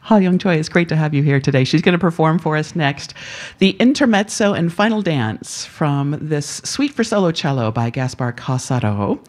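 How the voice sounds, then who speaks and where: A woman's voice: deep voice, expressive, normal speed, few pauses, a little mellow. A woman hosting a live classical radio interview, friendly and curious, laughing often. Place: radio performance hall studio.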